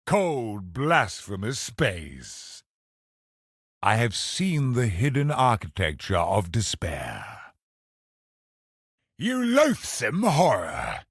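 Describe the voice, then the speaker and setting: A grave, ancient game villain, husky and low, pronouncing doom with portentous weight. The delivery is theatrical and solemn, recorded close and very dry. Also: male voice, wildly swooping pitch, very slow, pauses often, fairly bright tone, no echo, heavily acted. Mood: dark, incantatory. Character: grave, ancient cosmic sorcerer, bitter and doom-laden.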